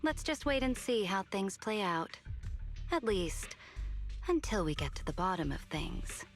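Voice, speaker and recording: A woman voicing a composed, smooth-talking woman in a video game. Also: mid-range voice, big pitch swings, unhurried. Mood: calm. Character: composed, poised woman, cool and knowing. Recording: game audio under music.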